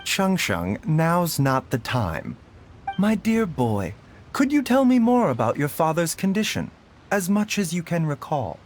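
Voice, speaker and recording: An actor playing a gentle, composed physician in a video game, speaking politely and soothingly. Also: male voice, a little high, wildly swooping pitch, measured pace, a little hiss or hum. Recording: music behind the voice.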